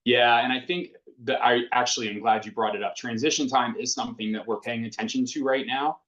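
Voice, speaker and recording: A man's voice: medium pitch, steady tone, fairly quick, fairly bright tone. A man running a school town hall as principal, answering questions in an earnest, reassuring way with many fillers. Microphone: video call. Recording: dull lo-fi video call audio.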